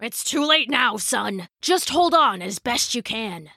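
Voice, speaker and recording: A woman doing male ship captain, forceful, urgent, commanding, bellowed orders, storybook uncle. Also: fairly high pitch, animated, measured pace, slightly rough, no room sound, studio quality, heavily acted.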